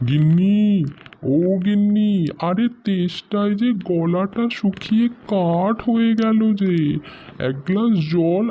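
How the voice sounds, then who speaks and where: A man voicing a simple-minded young village husband who calls out loudly and pleadingly. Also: sits high, takes it slowly. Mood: calling. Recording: dull lo-fi with faint noise.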